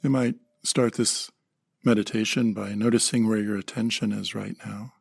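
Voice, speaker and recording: A man leading a guided meditation in a calm, gentle manner, in short measured phrases with pauses. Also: fairly low pitch, gravelly, husky.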